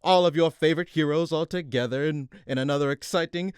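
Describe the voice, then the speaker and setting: A man's voice: on the high side, fast talker, clear, resonant tone, dry recording, close to an everyday voice. A man acting a grandiose, theatrical character in a parody superhero radio play, with a sarcastic, exasperated streak. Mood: grandiose, dramatic. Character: bombastic radio announcer turned petty, exasperated, pompous supervillain leader.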